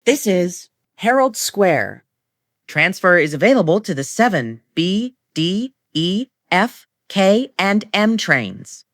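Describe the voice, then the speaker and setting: Low-pitched woman making train announcements. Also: big pitch swings, no room sound, clean studio sound. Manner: formulaic, measured delivery of short set phrases. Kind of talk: scripted automated transit announcements.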